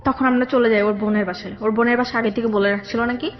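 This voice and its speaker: A young woman with a Bangladeshi accent telling a personal story conversationally, haltingly, often circling back over details. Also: on the high side, steady tone, dull audio, soft background music. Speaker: guest telling her life story.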